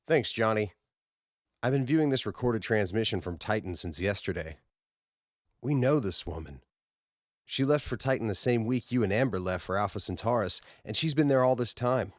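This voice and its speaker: A man's voice: on the low side, animated, moderate pace, no echo, clean studio sound, voice pushed up, natural voice. Man playing a worried, frustrated space-station colleague. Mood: frustrated, concerned.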